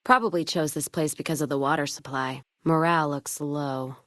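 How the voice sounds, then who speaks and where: An American woman playing a jaded, hard-drinking, foul-mouthed caravan trader in a video game, with a gruff, sardonic, folksy manner. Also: sits low, steady tone, normal speed, a bit bright, dry recording, studio quality. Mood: grim, disgusted. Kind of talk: video game companion voice lines.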